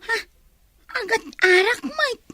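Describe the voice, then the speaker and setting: A woman's voice: very high voice, lively intonation, takes it slowly, pushed and bright, heavily acted. A woman playing a nosy, fussy young girl in an Ilocano comedy radio drama. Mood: surprised, fussy.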